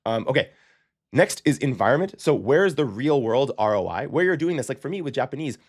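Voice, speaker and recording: A man hosting a language-learning lesson, talking casually and explaining his method with frequent "okay?" check-ins and quick self-corrections. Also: medium pitch, sing-song. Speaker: language-learning channel host.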